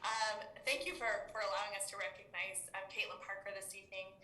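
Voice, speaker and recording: A woman with a hoarse voice, speaking formally and a little hesitantly as a city attorney introducing a colleague over a video call. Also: mid-range voice, flat delivery, fast, pushed and bright. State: hoarse, sore throat. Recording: roomy, echoey video call audio.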